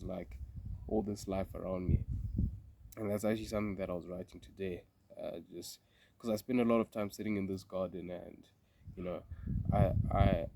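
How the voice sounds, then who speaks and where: A young man with a cold, talking in a relaxed, reflective way with many fillers and restarts while sitting outdoors in a garden. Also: fairly low pitch, very noisy. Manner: thoughtful, meandering, with frequent fillers and restarts. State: congested from a cold. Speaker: vlogger. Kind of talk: casual reflective vlog.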